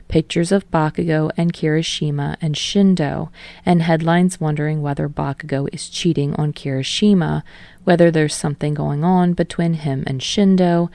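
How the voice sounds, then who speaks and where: A woman's voice: low voice, creaky, dark tone, no room sound. A woman reading a fiction story aloud as narrator, in a scripted narration style. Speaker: audiobook narrator.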